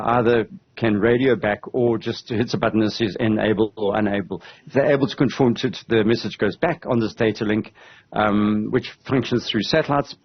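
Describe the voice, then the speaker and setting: A man in his fifties with a husky voice, explaining in a halting, measured way as a news interview guest over a video call. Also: fairly low pitch. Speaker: aviation commentator and interview guest. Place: indoor room on a video call. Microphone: headset on a video call. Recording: dull, lo-fi video call audio.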